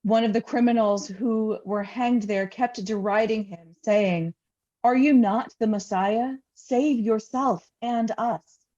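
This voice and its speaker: A woman who is a pastor, reading scripture aloud in a solemn, measured way. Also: a little high, mellow. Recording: slightly dull, lo-fi sound.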